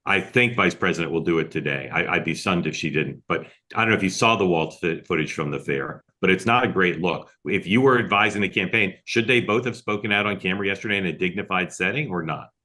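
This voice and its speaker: Male moderator, low bright voice, quick and direct, keeps a political panel moving. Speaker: host and moderator. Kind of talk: political discussion on a group video call.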